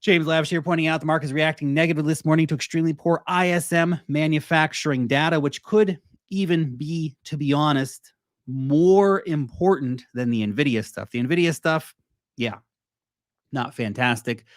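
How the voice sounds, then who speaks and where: A man's voice: a little high, moderate pace, dry recording, clean studio sound. Chatty man talking crypto markets. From United States. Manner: chatty, talks to chat, rambling asides. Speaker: crypto livestream host. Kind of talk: casual market commentary.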